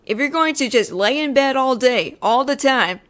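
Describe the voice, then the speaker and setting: A woman's voice: sits high, expressive, normal speed. A woman voicing a judgemental tsundere female ghost in an audio roleplay, sarcastic and nagging but secretly caring, speaking directly to the listener. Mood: sarcastic, exasperated.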